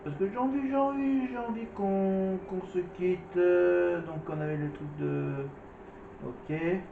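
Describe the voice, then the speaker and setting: A man's voice: high voice, measured pace, creaky. A man hosting a casual vlog, commenting on clips with many fillers and restarts. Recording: echoey, distant, phone-like with noise.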